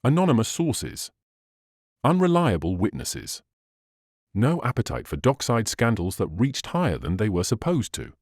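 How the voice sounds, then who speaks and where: A man's voice: lowish voice, huge pitch swings, moderate pace, darkish tone, dry recording, studio quality. A man narrating crime fiction as a dramatic scripted audiobook reading.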